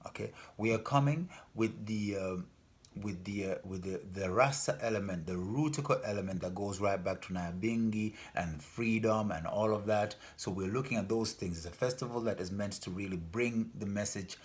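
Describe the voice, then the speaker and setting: Guy raised in denmark, kinda low voice, earnest rambling pitch for his festival, lots of ums. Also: moderate pace. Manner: earnest, repetitive, loses his thread with fillers. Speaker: festival organizer. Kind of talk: informal spoken appeal.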